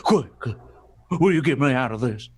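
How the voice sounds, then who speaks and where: A man's voice: medium pitch, animated, moderate pace, slightly rough. A man acting in an old-fashioned radio play as a harried, pompous adventurer and author, blustering and dismissive. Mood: flustered, harried. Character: harried, pompous male adventurer-author, disdainful of fans and stars.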